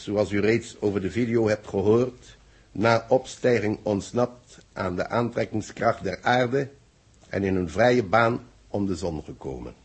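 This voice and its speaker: An actor playing a deliberate space-base official in 1960s radio drama, with a husky voice and a Netherlands Dutch accent. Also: male voice, lowish voice. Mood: formal dictating. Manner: deliberate, explanatory. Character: measured male space-base official briefing his secretary.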